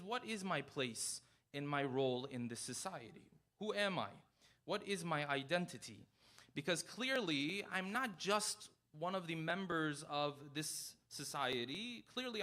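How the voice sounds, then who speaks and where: Bright-voiced young man preaching. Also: a little high. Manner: rhetorical questions, rising emphasis. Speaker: speaker giving a religious lecture. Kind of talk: sermon to a congregation.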